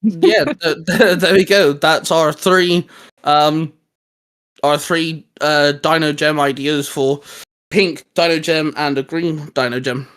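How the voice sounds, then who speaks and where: A man's voice: on the high side, steady tone, slow pace, a bit bright. A man with an English accent hosting a casual, geeky chat, explaining things in a hesitant, rambling way. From England. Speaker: host of a fan discussion channel. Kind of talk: casual geeky discussion among friends.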